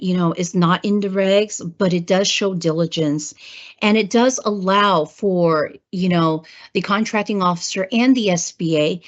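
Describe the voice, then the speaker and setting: A woman with a hoarse voice from a cold, presenting a government training webinar, clearing her throat and using many fillers. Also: mid-range voice. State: hoarse, getting over a cold. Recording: muffled lo-fi webinar audio.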